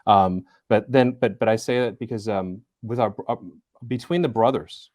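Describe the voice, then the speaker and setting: A man in his forties answering interview questions in a low voice. He speaks thoughtfully, pausing with fillers and small laughs. The recording is dry and close. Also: no room sound. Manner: thoughtful, hesitant, with many fillers and soft laughs. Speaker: interview guest, business school center director. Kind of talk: casual interview talk.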